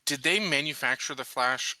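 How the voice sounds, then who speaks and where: A man takes part in a tabletop roleplaying session as a player. His voice is very bright and sharp, with measured, formal phrasing, on a dry close recording. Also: medium pitch, dry recording. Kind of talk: in-character roleplay and table talk.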